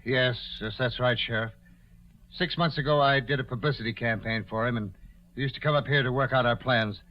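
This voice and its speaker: A man's voice: medium pitch, steady tone, fairly quick, lightly acted. A man playing a cold, scheming gambler with clipped, calculating delivery in a 1940s radio drama. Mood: smooth. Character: cold, scheming male gambler plotting a murder cover-up. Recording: dull vintage 1940s radio recording.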